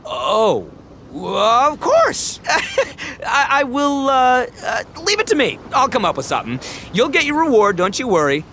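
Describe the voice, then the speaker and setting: Brash, bright-voiced, excitable oni gang boss. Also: male voice, very high voice, unhurried, slight background noise, full character voice. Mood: flustered. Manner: boastful, exuberant, slangy. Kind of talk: animated video game voice acting.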